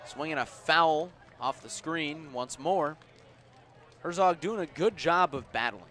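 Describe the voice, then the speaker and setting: A man calling baseball live on the radio, measured between pitches and punchier on plays, as an announcer does. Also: a bit bright. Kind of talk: live radio sports commentary. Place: outdoor baseball ballpark. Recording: outdoor ballpark ambient noise.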